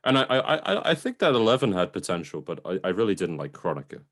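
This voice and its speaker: A young man in casual film discussion as a co-host, giving measured opinions and posing questions. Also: lowish voice, sing-song. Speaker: film review podcast co-host.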